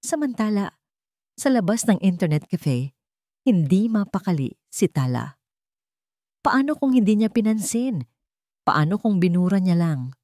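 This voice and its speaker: A woman's voice: medium pitch, very animated, measured pace, long pauses, dark tone, no echo, studio quality. A woman narrating a romantic melodrama as a scripted, dramatic story.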